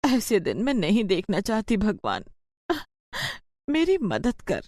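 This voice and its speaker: A woman's voice: mid-range voice, wildly swooping pitch, slow pace, darkish tone, no room sound, clean studio sound, pitched above the performer's own voice, exaggerated voice. A woman voicing a poor, troubled village mother in a moral story, pleading and sorrowful. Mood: sorrowful prayer. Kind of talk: acted dialogue in a Hindi moral story.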